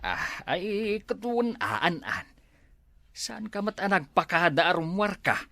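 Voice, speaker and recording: A man's voice: high-pitched, sing-song, takes it slowly, pushed and bright, dry recording. A man with an Ilocano accent playing a grumbling father in a family comedy sketch.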